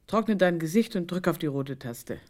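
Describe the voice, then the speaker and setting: A middle-aged mother-in-law played by an actress in a radio play, with a rather low voice. She is brusque and bossy, dryly scolding and commanding with little patience. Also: lively intonation, moderate pace, darkish tone, close to an everyday voice. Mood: impatient. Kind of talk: radio drama dialogue.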